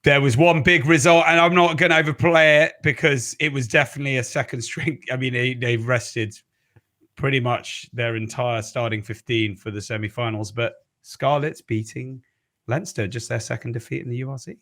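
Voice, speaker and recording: Man, french native speaker, mid-range voice, measured, leads the chat. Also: medium pitch, steady tone, pushed and bright. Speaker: podcast presenter. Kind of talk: casual rugby chat, steering topics.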